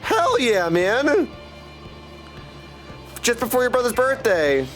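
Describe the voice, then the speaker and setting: A man's voice: extremely high pitch, huge pitch swings, takes it slowly, a bit bright. A man chatting casually with viewers as he hosts a game livestream, hopping between replies and catchphrases. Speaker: livestream host playing a video game; voice actor and audiobook narrator. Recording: music playing behind the voice. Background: music.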